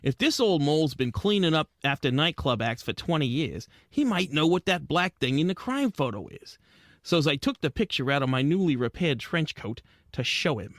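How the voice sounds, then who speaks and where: A man's voice: fairly high pitch, big pitch swings, moderate pace, fairly bright tone, dry recording, studio quality. An actor playing a plucky, wisecracking penguin detective who tells his case in slangy hard-boiled style for a comic audio drama. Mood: narrating, wry. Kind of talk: scripted comic noir narration and dialogue.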